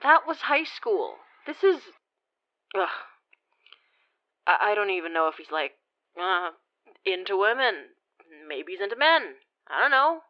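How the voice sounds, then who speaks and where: A woman in her twenties playing an awkward, self-deprecating young woman in a naturalistic audio drama, rambling haltingly with sighs. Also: medium pitch, sing-song, lo-fi sound. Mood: embarrassed, defensive. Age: 20s. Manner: halting, sighing, rambling confessional. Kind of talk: naturalistic audio drama acting, phone monologue.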